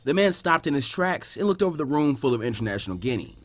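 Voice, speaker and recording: A man's voice: on the high side, very animated, fast. A man reading an anime fan fiction story aloud as scripted third-person narration. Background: faint music.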